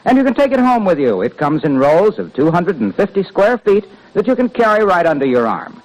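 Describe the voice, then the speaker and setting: A male announcer delivering a polished sales pitch in 1950s radio style. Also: big pitch swings, brisk, rough recording. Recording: old radio broadcast.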